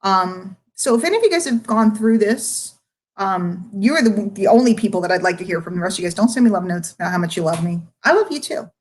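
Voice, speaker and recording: Middle-aged woman, casual live-stream coaching chat, medium pitch, candid, rambling, emphatic, direct address. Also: animated, brisk. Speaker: marketing coach.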